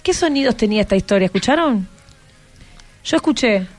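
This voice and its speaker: Female Argentine radio host, higher voice, casual and playful, encouraging interviewer. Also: lively intonation, brisk, faint music behind. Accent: Argentine, Rioplatense.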